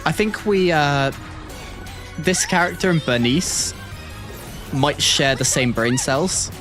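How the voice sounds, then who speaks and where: A man's voice: on the high side, slow, music in the background. A man giving casual livestream reaction commentary, thinking aloud in a hesitant way. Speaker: streamer.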